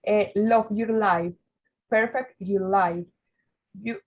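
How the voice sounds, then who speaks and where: Female student, very slow pace, medium pitch, hesitant read-aloud, halting with repeated words. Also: fairly even intonation, a little mellow, rough recording. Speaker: language student reading aloud. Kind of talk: halting reading of a text aloud.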